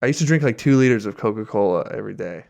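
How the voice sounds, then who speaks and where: A man's voice: fairly low pitch, expressive, very fast, creaky, darkish tone. Casual, slangy man in podcast banter. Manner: slangy, swearing, joking. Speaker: podcast co-host. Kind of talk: casual comedic chat.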